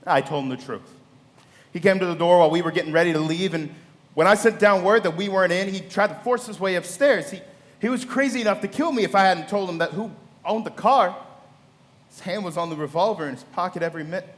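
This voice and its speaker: Man playing an arrogant, high-voiced rich bully, hall. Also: fast talker. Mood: defensive. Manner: forceful, indignant. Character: arrogant, wealthy, combative man, defiantly self-justifying. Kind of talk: live radio play acting. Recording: reverberant hall.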